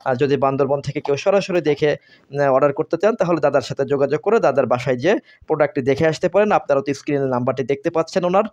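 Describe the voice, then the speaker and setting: A man with a Bangladeshi accent gives a product demonstration as a seller, speaking in halting, repetitive phrases. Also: on the high side, a little mellow, lo-fi sound. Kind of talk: product demonstration sales pitch.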